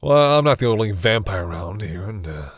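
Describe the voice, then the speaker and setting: A man playing a centuries-old vampire in an intimate audio roleplay, speaking formally but haltingly in a husky, breathy voice. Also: on the low side, expressive, dry recording, clean studio sound. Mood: awed, hesitant. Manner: hesitant, halting, formal phrasing. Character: centuries-old male vampire, courteous, hesitant, commanding with servants.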